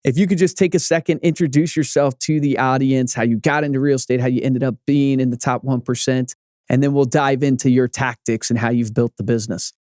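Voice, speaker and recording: A man co-hosting a sales podcast, jokey and quick to laugh with the guest. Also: medium pitch, fairly even intonation, a little mellow, no echo, studio quality. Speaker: podcast co-host. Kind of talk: casual podcast banter.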